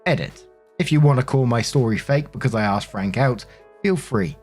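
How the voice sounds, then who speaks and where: A man's voice: medium pitch, expressive, normal speed, darkish tone, faint music behind. A man narrating online stories aloud as a show host, conversational and informal, with casual commentary.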